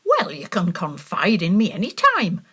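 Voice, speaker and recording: A woman's voice: on the low side, wildly swooping pitch, moderate pace, a bit bright, breathy edge, exaggerated voice. An actress playing a flirtatious, forward woman in an amateur radio play, cooing and suggestive.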